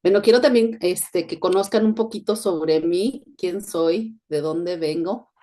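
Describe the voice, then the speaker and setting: A woman's voice: lowish voice, measured pace, dark tone. A middle-aged woman from Mexico, speaking with a neutral Spanish accent as a podcast host in an earnest, reflective monologue. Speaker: podcast host, education consultant.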